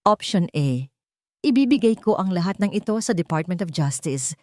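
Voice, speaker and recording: A woman voicing a calm, firm male millionaire bent on revenge, speaking with deliberate weight in an audio story. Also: fairly low pitch, dry recording, clean studio sound, lightly acted. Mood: stern. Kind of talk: dramatic audio story dialogue.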